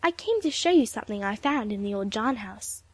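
A woman's voice: medium pitch, expressive, brisk, husky, no room sound. Woman as a stern, proud teenage girl. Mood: blunt. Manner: curt, lofty, stern.

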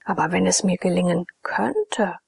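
A woman reading a scheming, imploring Egyptian man in a storybook, in a breathy half-whisper. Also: lowish voice, moderate pace, a little mellow, dry recording, clean studio sound, heavily acted. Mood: passionate. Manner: pleading, insistent.

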